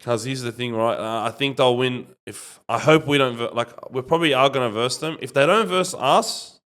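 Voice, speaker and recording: A man's voice: mid-range voice, normal speed, a bit bright. An Australian man in casual sports banter as a podcast panellist, slangy and opinionated, repeating himself for emphasis. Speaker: rugby league podcast panellist.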